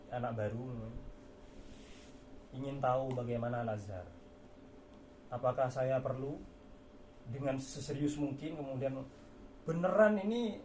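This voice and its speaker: A man's voice: mid-range voice, a little mellow. A man giving an informal lecture as a religious teacher, explaining through repetition and rhetorical questions. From Indonesia. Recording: echoey room with faint background noise.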